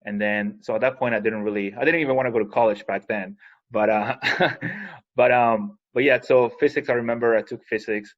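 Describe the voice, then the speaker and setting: A man's voice: medium pitch, fairly even intonation, lo-fi sound. A man from Peru in his thirties, hosting an interview in a chatty, rambling way. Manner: chatty, rambling, quick asides. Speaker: podcast host. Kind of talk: casual interview talk.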